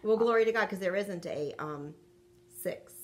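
A woman's voice: mid-range voice, normal speed. A middle-aged woman co-hosting a casual devotional talk and reading scripture in a conversational, affirming manner. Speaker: co-host and scripture reader. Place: furnished room at home.

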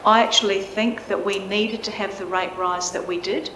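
A woman's voice: mid-range voice, fairly even intonation, noisy background. Aussie lady councillor explaining herself, lots of ums, roomy chamber. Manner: measured, explanatory, many "um" fillers. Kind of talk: answering a question in a council meeting. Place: large council chamber, indoors. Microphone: desk microphone.

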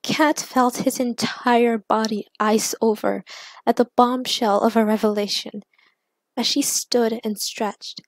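Slow-paced woman narrating a story. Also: fairly high pitch, fairly even intonation, no echo, low-quality recording. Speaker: narrator and writer of fan fiction.

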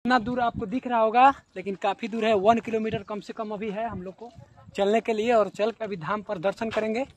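A young man filming a travel vlog on his phone at arm's length, talking excitedly as he crosses a river and walks to a waterfall. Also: sits high, brisk. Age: young adult. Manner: excited, calling out and cheering. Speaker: travel vlogger. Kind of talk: casual travel vlog narration. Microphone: phone selfie at arm's length. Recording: noisy outdoor phone recording.

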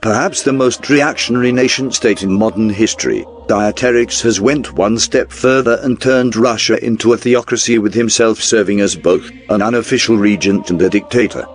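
A man reading scripted documentary narration in a formal, measured newsreel style. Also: lowish voice. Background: background music.

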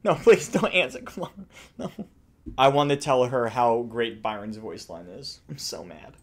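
A man's voice: medium pitch, animated, unhurried. A young man giving casual, exaggerated, jokey reaction commentary with plenty of swearing, indoors at home. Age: young adult. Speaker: video creator reacting to game voice lines. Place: small indoor room at home.

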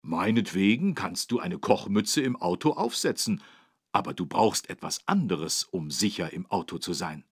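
A man with a low, husky voice narrates for young children. His delivery is lively and encouraging, carefully phrased, and recorded in a dry studio. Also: moderate pace, dry recording. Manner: clearly phrased, encouraging, animated. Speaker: narrator of a children's learning game.

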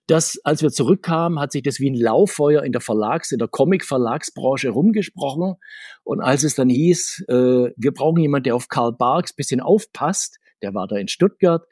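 A man's voice: mid-range voice, lively intonation, normal speed, a little mellow, husky, no room sound, clean studio sound. A middle-aged man telling anecdotes as an interview guest, chatty and digressive, often restarting his sentences. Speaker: podcast guest, comics author.

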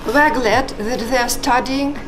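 A woman's voice: high-pitched, normal speed. A middle-aged woman with a Russian accent, a native Russian speaker, giving a careful, formal welcome as a chief librarian in a library office. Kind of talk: prepared welcome speech. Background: music.

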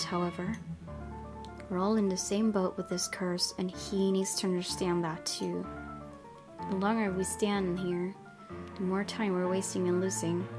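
A woman hosting a let's play, reading visual novel narration aloud and adding casual asides. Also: lowish voice. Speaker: gaming video host. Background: game music.

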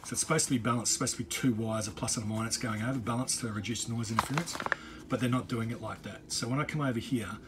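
A middle-aged man talks through a do-it-yourself job in an informal, unscripted way. His voice sits at a medium pitch, and he often rephrases as he works out the explanation. It is captured on a handheld phone, with noticeable ambient noise behind him. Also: fast. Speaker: boat owner giving a DIY walkthrough. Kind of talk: casual off-the-cuff tutorial. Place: on a boat.

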